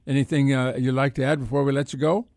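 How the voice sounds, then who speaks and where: A man co-hosting unscripted sports talk radio, speaking haltingly and repeating words as he goes. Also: mid-range voice, flat delivery, darkish tone, no echo, clean studio sound.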